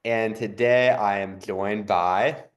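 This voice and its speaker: A man hosting a podcast interview, upbeat and quick to chime in with short affirmations. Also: on the low side, steady tone. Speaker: podcast host.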